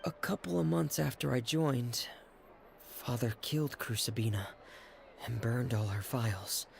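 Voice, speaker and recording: A man's voice: medium pitch, measured pace, gravelly, a little hiss or hum. An actor voicing an earnest young male stage magician in a game's dialogue. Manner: earnest, heartfelt game dialogue.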